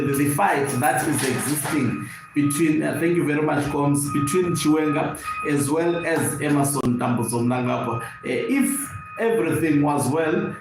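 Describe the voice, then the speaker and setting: A man with a Zimbabwean accent gives live political commentary as an online host, phrasing deliberately and repeating words for emphasis. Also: fairly high pitch. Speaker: online news channel host and political analyst. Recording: very echoey room, faint background noise.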